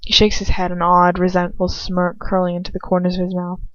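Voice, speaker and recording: A woman's voice: on the low side, completely flat delivery, brisk, dry recording, muffled recording. A woman reading first-person fiction aloud as an amateur narrator, in a dramatic style. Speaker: amateur audiobook reader.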